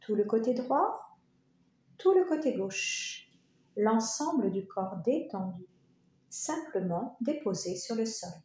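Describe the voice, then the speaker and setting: A woman leading a guided yoga relaxation, speaking in short, soothing, unhurried phrases with pauses. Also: fairly high pitch, expressive, a little mellow. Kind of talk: guided meditation narration. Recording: roomy echo, faint background noise.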